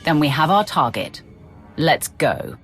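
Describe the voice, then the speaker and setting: A commanding warrior of a dark empire in a sci-fi game. She speaks crisply and with stern control, and soft music plays behind her. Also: deep voice, very animated, measured pace, exaggerated voice. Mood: businesslike. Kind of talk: video game voice acting.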